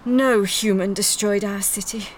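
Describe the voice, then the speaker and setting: An actress playing an ancient, dying alien great-grandmother in a sci-fi audio drama, grave and serene, with a deliberate delivery. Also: mid-range voice, steady tone, a little hiss or hum, close to an everyday voice. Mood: grave, firm. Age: older. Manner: slow, deliberate, solemn.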